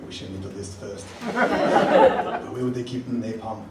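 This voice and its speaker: A man playing a determined, gruff action hero in a comic radio play, terse and melodramatic. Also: fairly low pitch, steady tone, measured pace, a loud crowd, lightly acted. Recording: very echoey hall, far mic, noisy.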